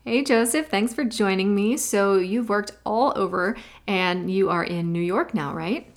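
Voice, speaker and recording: A woman's voice: medium pitch, a little slow. Upbeat woman hosting a podcast. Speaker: podcast host. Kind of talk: scripted intro then casual interview chat.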